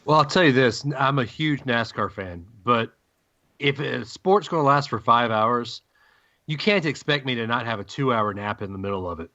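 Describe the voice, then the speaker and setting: The recording is a bit dull and lo-fi. A man of average pitch hosts a sports show in casual banter, freely giving opinions and teasing his co-hosts. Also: moderate pace, pushed and bright. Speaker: sports podcast host. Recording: dull, lo-fi audio.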